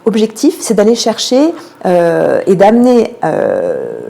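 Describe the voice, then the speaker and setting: Mellow-voiced woman explaining, with frequent hesitations. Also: mid-range voice, huge pitch swings, slow, vocal fry. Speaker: theatre programming manager, interview guest. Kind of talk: radio interview, explanatory answers.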